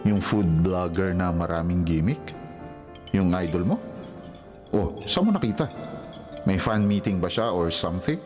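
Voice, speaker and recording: An actor playing a teasing, impatient older brother with a Filipino accent in a radio drama. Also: male voice, deep voice, lively intonation, measured pace, pauses often, darkish tone, soft background music. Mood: curious.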